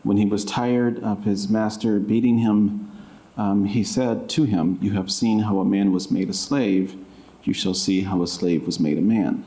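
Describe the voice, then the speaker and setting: Thoughtful guy author being interviewed, low mellow voice, echoey room. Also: steady tone, unhurried. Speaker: author and creative writing professor being interviewed. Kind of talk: reflective interview answer at a public event. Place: indoor public library event. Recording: roomy, echoing library event sound.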